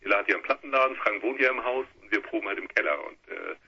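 Fast, bright, husky man. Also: fairly low pitch, slight background noise. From Hamburg, Germany. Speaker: guitarist and band leader. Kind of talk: casual phone interview. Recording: muffled telephone interview on radio.

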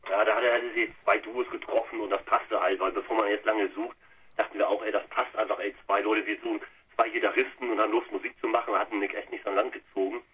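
A man from Lower Saxony, a band guitarist giving a rambling, colloquial interview by phone. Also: level tone, quick pace, husky. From Lower Saxony, Germany. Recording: muffled, noisy telephone line on radio.

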